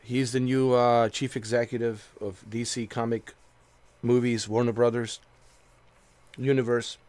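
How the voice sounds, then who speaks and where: A man's voice: medium pitch, flat delivery, measured pace, darkish tone. A man born in Bosnia who grew up in America, chatting casually and rambling while streaming a game, with many fillers and repeated words. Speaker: gaming streamer. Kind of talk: casual chat while playing.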